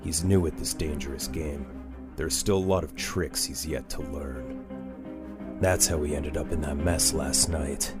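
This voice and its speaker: A man playing a grim, stern vigilante mentor in a comic dub, brooding and terse, with measured delivery. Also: extremely low pitch, fairly even intonation. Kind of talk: comic dub narration and dialogue. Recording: music behind the voice.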